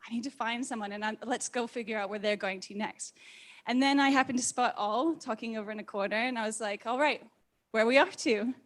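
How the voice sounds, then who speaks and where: A woman in her thirties with a mixed, hard-to-place accent, telling personal stories informally and in an animated, candid way as a speaker to a live audience. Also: on the high side, brisk. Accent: hard-to-place mixed accent, neither clearly American, New Zealand nor Australian. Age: 30s. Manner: animated, candid, acts out quoted thoughts. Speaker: speaker at a community meetup.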